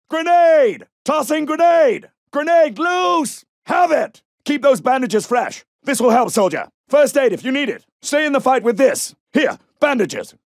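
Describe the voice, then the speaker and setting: A soldier of the Second World War in a shooter game. His voice sits extremely high for a man, with urgent, clipped military delivery. The sound is dry, with no room around it. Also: no room sound, exaggerated voice. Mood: shouting, urgent, then brisk. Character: commanding male World War II British soldier pilot. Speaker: video game soldier character. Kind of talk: military battle callouts.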